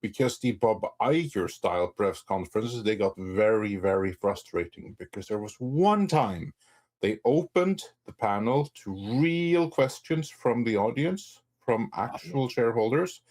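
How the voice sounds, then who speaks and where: A man's voice: mid-range voice, lively intonation, a little slow, a little mellow. A man giving opinionated panel commentary, explaining his points emphatically in short phrases.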